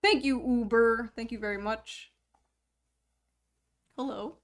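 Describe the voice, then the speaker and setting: A woman's voice: on the high side, steady tone. A woman in her thirties chatting casually on a livestream, teasing her viewers, swearing freely and laughing often. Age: 30s. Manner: teasing, profane, quick to laugh. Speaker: streamer.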